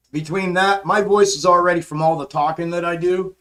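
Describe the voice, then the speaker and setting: Hoarse male livestream host, easygoing, slightly high voice, casual market chatter. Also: steady tone, moderate pace, a bit bright. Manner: laid-back, calls viewers bro and man. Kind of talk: casual live chart commentary and chat replies.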